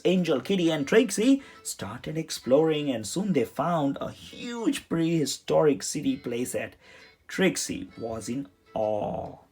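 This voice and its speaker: Husky storybook narrator over music. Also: medium pitch, a little mellow. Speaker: children's storybook reader. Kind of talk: read-aloud scripted narration. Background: music under the voice.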